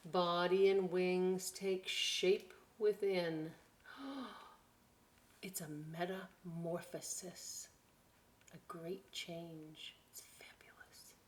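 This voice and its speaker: A woman hosting a storytime for young children, reading expressively with drawn-out words and chatty, encouraging asides. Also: on the low side. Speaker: children's library storytime host.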